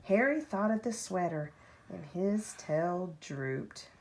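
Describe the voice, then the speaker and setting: A woman reading a children's picture book aloud like a parent at bedtime, with expressive, deliberate phrasing and drawn-out key words. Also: lowish voice. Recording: home recording with faint background noise.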